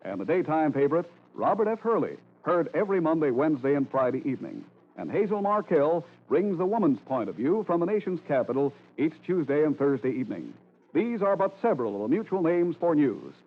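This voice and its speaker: A man's voice: a little high, very animated, a little fast. A man with an American accent announcing from a script in a formal, polished, emphatic vintage radio style. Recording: tinny, phone-like old radio recording.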